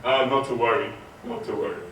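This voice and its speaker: A man on stage playing a generous, important gentleman who speaks with emphatic reassurance. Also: medium pitch, sing-song, fairly quick. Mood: reassuring. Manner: emphatic, reassuring, projected delivery. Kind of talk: theatrical one-man stage acting. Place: hotel hall stage. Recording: distant echoey stage recording, faint noise.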